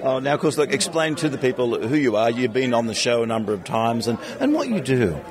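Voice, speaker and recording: An Australian man doing casual, playful roving interviews, phrasing his questions in a halting way. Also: mid-range voice, big pitch swings. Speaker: podcast interviewer. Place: busy convention venue. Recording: busy crowd chatter behind.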